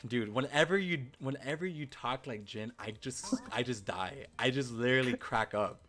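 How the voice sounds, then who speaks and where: A man's voice: mid-range voice, moderate pace, fairly bright tone. A young man hosting a podcast, chatting casually with an enthusiastic, laid-back manner. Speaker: podcast host.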